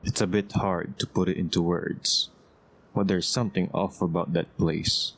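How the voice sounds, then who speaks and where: A man's voice: deep voice, steady tone, moderate pace. A man doing commentary on a video game, reading dialogue aloud.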